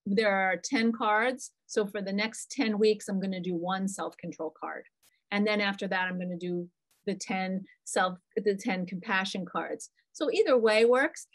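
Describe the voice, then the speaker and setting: A middle-aged woman, a psychologist, answering parents' questions in a lively, chatty way on a video call from a small room. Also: medium pitch, measured pace. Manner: talkative, animated, answers questions from the chat. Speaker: psychologist presenting a parent webinar. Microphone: computer mic on a video call.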